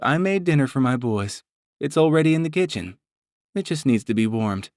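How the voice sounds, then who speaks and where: A man's voice: medium pitch, lively intonation, moderate pace, darkish tone, no echo, studio quality, pitched above the performer's own voice, natural voice. A man voicing a doting, fussing mother who scolds her grown sons with affection. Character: warm doting middle-aged mother.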